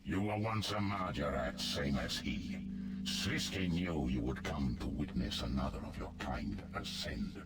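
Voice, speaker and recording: An actor playing a solemn, resolute god of the underworld with a grave, formal manner in a fantasy game. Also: male voice, rumbling low, expressive, unhurried, very noisy. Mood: grave warning. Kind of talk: scripted fantasy game dialogue.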